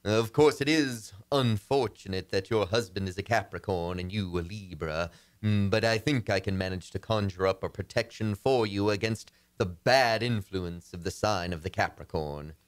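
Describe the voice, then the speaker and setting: An actor playing a suave, self-assured astrologer in a radio comedy, with a soothing, theatrical manner. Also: male voice, fairly low pitch, moderate pace, lightly acted. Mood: smooth, professional. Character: smooth, self-assured male astrologer selling charms to clients. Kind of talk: old-time radio comedy acting.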